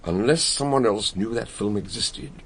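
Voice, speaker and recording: Calm inquiring sleuth, low gravelly voice. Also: male voice. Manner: measured, inquiring. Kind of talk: radio drama acting and narration.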